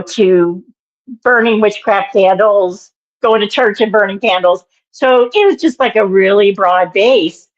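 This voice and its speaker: A woman in her sixties with a naturally raspy voice and an allergy-irritated throat she keeps clearing, telling a story in an interview in a halting, meandering way. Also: medium pitch, highs cut off. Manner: meandering, halting, with many fillers and restarts. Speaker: guest, minister and author.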